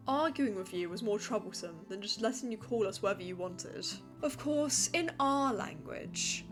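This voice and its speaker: Woman as a fussy, precise alien gem lady, high-pitched. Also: natural voice. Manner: formal, didactic, exasperated lecturing. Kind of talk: comic dub voice acting.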